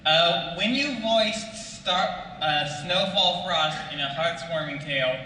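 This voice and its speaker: Male fan questioner, fairly high and very forceful tone, distant in a reverberant room. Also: slow pace. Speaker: audience questioner. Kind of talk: asking a panel question. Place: large convention panel room. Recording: very echoey hall, distant, faint noise.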